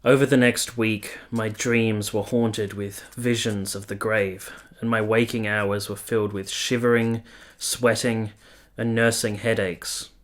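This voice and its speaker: A man playing an earnest, gentle soldier in a period radio play, speaking in a measured, literary way. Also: lowish voice, flat delivery, lightly acted. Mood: sombre, haunted. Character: earnest, gentle young soldier narrating his own story. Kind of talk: first-person dramatic narration in a radio play.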